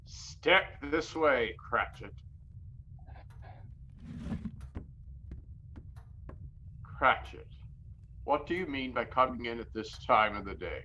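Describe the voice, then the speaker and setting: An actor playing an old miser boss in a theatrical audio drama, blustering and exclamatory, swinging between mock sternness and giddy joviality. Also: male voice, medium pitch, measured pace, highs cut off, slight background noise. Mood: mock stern. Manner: blustering, exclamatory, repeats words excitedly.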